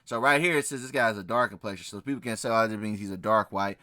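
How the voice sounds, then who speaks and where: A man's voice: on the low side, quick pace, bright, forceful tone, dry recording, clean studio sound. A man hosting a reaction video, reading old records and scripture aloud in casual commentary, emphatic and repeating key phrases with frequent "right?". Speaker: channel host reacting to a video.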